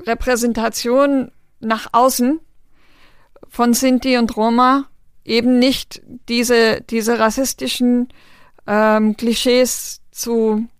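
A woman guest in an interview, talking in an earnest, measured way, often pausing with fillers while she thinks. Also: a little high, level tone, smooth, no room sound, clean studio sound. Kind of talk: thoughtful interview conversation.

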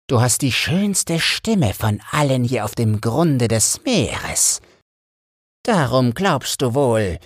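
Man acting a slow, menacing, husky sea witch. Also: mid-range voice, dry recording, clean studio sound, voice pushed up, heavily acted. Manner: slow, drawn-out, relishing menace. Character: greedy, menacing old female sea witch.

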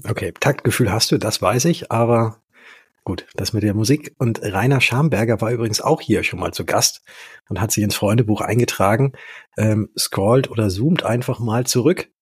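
A man's voice: fairly low pitch, normal speed, breathy edge, no room sound, clean studio sound. A man hosting a podcast interview, asking questions in an upbeat, conversational way. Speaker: podcast host.

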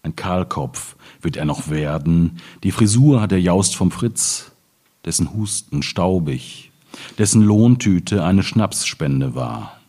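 A man from the Ruhr area in Germany reading his own poems in measured phrases. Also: sits low, expressive, mellow, breathy edge. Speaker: poet reading own work.

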